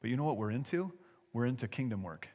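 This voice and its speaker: An American man giving an informal church presentation to an audience, with a folksy, halting, conversational manner. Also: medium pitch, lively intonation, dark tone. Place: large hall, speaking to a gathering. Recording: echoey hall with long reverb.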